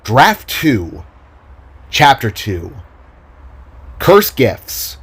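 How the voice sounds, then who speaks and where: A man narrating a scripted audio drama story in a deliberate, expressive storytelling manner. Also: medium pitch, husky. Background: solo acoustic guitar music.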